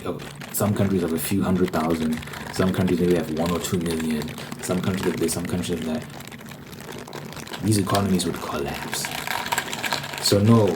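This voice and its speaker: Male ASMR rambler, low register, emphatic and repetitive delivery, noticeable background noise. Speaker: ASMR video host.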